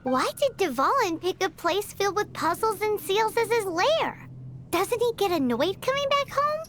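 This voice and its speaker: A woman playing a tiny, excitable childlike fairy companion in a game, chirpy and exclamatory. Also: very high voice, measured pace, exaggerated voice. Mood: puzzled. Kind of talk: scripted game voice acting. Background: game music.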